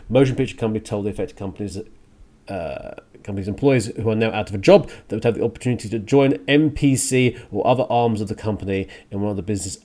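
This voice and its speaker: A man hosting a music radio show and podcast solo, talking casually in a halting, rambling, conversational way with a bit of a rant to it. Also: mid-range voice, a little mellow. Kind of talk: casual solo podcast talk, partly ranting.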